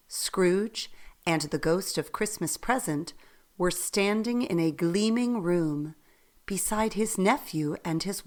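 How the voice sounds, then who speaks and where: A woman narrating a radio play as a storyteller, reading in a measured way. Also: lowish voice.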